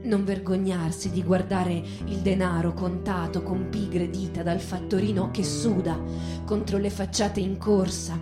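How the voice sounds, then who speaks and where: A woman host giving an expressive literary reading on a radio show, with guitar music. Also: fairly low pitch, a little slow, reverberant. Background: acoustic guitar music.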